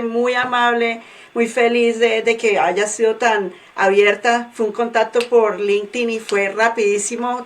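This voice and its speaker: A woman hosting a podcast interview from Michigan, praising her guest with halting restarts. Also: mid-range voice, far from the mic. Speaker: podcast host.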